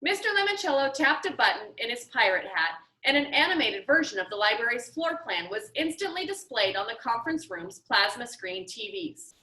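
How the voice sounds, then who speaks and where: A woman's voice: on the high side, very animated, moderate pace, hardly any pauses, pushed and bright. A woman reading a children's novel aloud with dramatic, emphatic storytelling. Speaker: audiobook reader. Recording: roomy echo.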